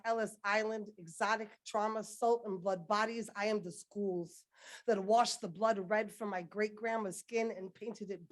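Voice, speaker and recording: Woman poet at a podium, rhythmic spoken word delivery with a building refrain. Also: mid-range voice. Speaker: poet reading at a dedication ceremony. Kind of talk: spoken word poetry performance. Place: ceremony podium.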